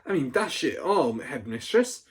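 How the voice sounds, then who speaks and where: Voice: mid-range voice, big pitch swings, a little slow, husky, voice pushed up, exaggerated voice. A storybook reader voicing a large, round eleven-year-old boy who sounds puzzled and defensive. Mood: puzzled, nervous.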